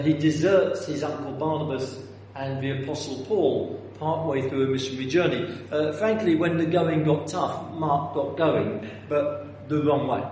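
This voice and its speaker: A middle-aged man gives a conversational sermon, his voice sitting a touch high for a man. He is self-deprecating and keeps putting questions to the congregation. The voice rings with long, heavy reverb from a large church hall. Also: darkish tone, a little hiss or hum. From North London, England. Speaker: visiting preacher.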